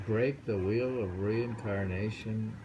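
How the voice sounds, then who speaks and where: A man's voice: on the low side, takes it slowly, muffled recording, noisy background. A man reading poems aloud and chatting casually at home, musing with hums and chuckles. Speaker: reader and host. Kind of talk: casual chat and poetry reading. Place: home in New York City on a rainy day.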